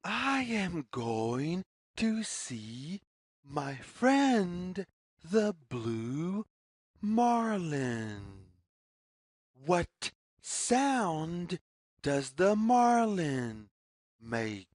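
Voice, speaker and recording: A woman reading a picture book aloud for young children, with deliberate, singsong phrasing and questions put to the listener. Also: lowish voice, sing-song, darkish tone, no room sound, clean studio sound. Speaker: children's read-along storyteller.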